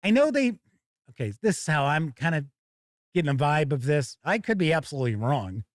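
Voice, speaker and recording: A man's voice: fairly high pitch, big pitch swings, brisk, no room sound, clean studio sound. A man hosting a tabletop gaming news show in a casual, chatty way, reading out items and adding wry asides. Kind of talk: casual live news commentary, reading blurbs and viewer comments.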